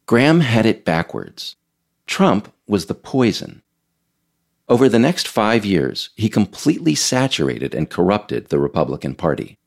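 A man hosting a podcast series, reading scripted narration in a measured, emphatic, explanatory way. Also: on the low side, big pitch swings, creaky. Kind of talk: scripted narration, audio essay read aloud.

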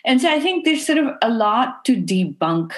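A woman's voice: a little high, very animated, moderate pace. A middle-aged woman from Pakistan, a guest speaking earnestly and passionately in an interview, often saying "you know". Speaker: guest, fashion activist. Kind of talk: interview answers.

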